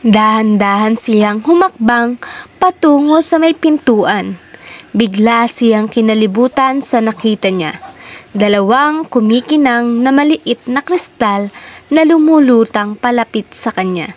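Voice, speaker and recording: A person reading a children's story aloud in a measured, deliberate storytelling manner. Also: medium pitch, lively intonation.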